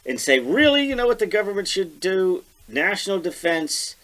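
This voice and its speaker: A man's voice: high-pitched, very animated, normal speed, a bit bright. An American man co-hosting a podcast, discussing politics casually with sardonic rhetorical questions. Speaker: podcast co-host.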